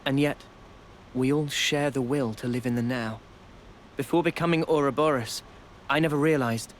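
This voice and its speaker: A man's voice: mid-range voice, fairly even intonation, moderate pace, slight background noise, natural voice. A man playing an earnest, thoughtful young soldier in a video game cutscene, with a sincere, pensive delivery. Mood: reflective. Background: game soundtrack music.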